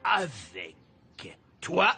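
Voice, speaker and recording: A bossy, sarcastic cartoon football coach. His voice sits high and swings widely in pitch, over clear background music. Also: wildly swooping pitch, extremely slow, full character voice. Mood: smug, challenging. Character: stern, sarcastic adult male football coach. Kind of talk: French animated cartoon acting.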